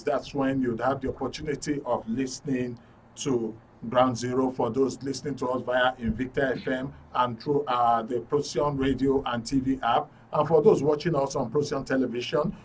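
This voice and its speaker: A man with a Nigerian accent presenting a live current affairs talk show in an informal, jocular way. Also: mid-range voice. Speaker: host of a current affairs radio and TV programme. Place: broadcast studio. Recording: noisy studio, distant mic.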